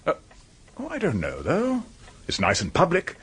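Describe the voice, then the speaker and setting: An actor playing a practical, protective Englishman who questions a detective friend in a measured radio drama style. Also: on the high side, huge pitch swings, fairly bright tone, breathy edge, full character voice. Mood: concerned. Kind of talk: British radio drama acting.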